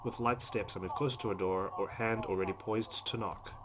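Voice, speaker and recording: A man hosting a let's play, reading visual novel narration aloud with asides, over loud background noise. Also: on the low side, fairly even intonation, a little fast.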